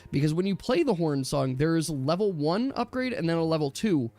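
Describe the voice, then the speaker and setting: A man chatting casually and at length as a gaming stream host, emphatic and animated, often correcting himself. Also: fairly high pitch, a little fast, dry recording. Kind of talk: casual rambling gamer chat. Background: background music.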